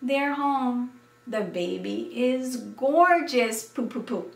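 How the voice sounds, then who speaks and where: A woman's voice: on the high side, animated, slow, vocal fry, voice pushed up, exaggerated voice. Woman acting out an emphatic superstitious mother, roomy sound. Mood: proud. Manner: exclamatory, emphatic warnings. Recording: roomy, distant microphone.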